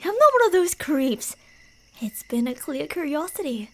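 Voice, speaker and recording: A woman playing a delusional, playfully menacing yandere killer in an ASMR roleplay, teasing in a sing-song way and slipping into breathy whispers. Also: sits high, sing-song, normal speed. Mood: hushed, creepy.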